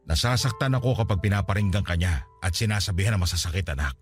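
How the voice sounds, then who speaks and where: A man's voice: low voice, expressive, fast, faint music behind. An actor playing an ailing middle-aged Filipino father and former seaman, tender and wounded, speaking earnestly in a radio drama. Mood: hurt, sorrowful. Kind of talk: Tagalog radio drama acting.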